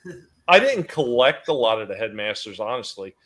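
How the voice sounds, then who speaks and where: A man's voice: fairly low pitch, very animated, moderate pace, fairly bright tone. A man hosting a casual collectors' show, chatting in a detailed, explanatory way. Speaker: host of a toy collecting show. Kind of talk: casual chat explaining toy history.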